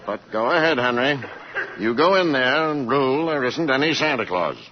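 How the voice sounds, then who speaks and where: A man's voice: medium pitch, expressive, moderate pace, a faint crowd, a little hiss or hum, natural voice. A man playing a blunt, wry, needling political adviser in a 1940s radio drama. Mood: sardonic. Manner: sardonic, needling. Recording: dull 1940s radio with audience.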